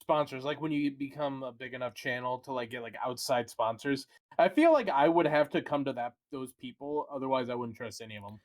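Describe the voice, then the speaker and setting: A man's voice: mid-range voice, animated, a little fast, few pauses. Man with dry teasing quips. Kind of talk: casual chat.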